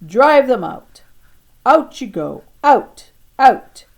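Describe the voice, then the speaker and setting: A woman's voice: sits high, big pitch swings, extremely slow, gravelly, pitched above the performer's own voice, exaggerated voice. A woman reader playing a young schoolboy in a storybook who pretends to be king, unsure of himself and plain in his speech. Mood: excited. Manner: plain, informal child's talk.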